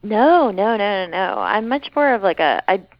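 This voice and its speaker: A woman's voice: medium pitch, sing-song, moderate pace, dry recording, highs cut off. A woman speaking as an interview guest in casual chat, talking freely with fillers and restarts. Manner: chatty, with frequent fillers and restarts. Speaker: guest, chief policy officer.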